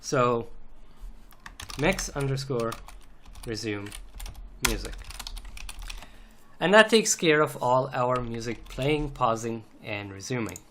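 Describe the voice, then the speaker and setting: A man narrates a programming screencast at a slow, halting pace, going methodically step by step. His pitch is middling for a man. The recording has a faint noise behind him, with keyboard typing and clicks. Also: expressive, fairly bright tone. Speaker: programming tutorial instructor. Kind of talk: unscripted screencast tutorial narration. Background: computer keyboard typing and clicks.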